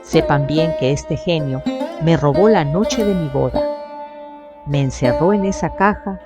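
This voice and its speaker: A woman acts out a commanding young captive of a genie in an audio drama. Her voice is very low with a gravelly creak, imperious and deliberate. Prominent music plays behind her.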